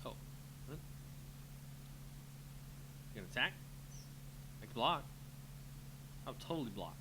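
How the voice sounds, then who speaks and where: A man's voice: medium pitch, very animated, measured pace. A man giving live gameplay commentary, thinking aloud in a casual, reactive, muttering way as he streams a card game. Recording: clear background noise with hum.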